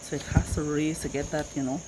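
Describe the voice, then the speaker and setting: Low-voiced Guyanese woman, noisy recording. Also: little pitch movement, fast. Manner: thinks aloud, repeating phrases. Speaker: cooking vlogger. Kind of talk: casual cooking vlog chat to viewers. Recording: noisy home recording with insect chirps.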